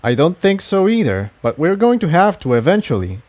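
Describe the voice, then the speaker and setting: A man's voice: fairly high pitch, big pitch swings, fairly quick, darkish tone, dry recording, pitched above the performer's own voice. A man voicing a troubled but good-hearted male pony adventurer in a story reading. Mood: firm.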